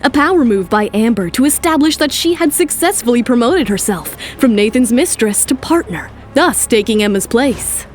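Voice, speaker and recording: Female narrator, high pitch, scripted dramatic storytelling, light music underneath. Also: animated, normal speed, hardly any pauses, a little mellow.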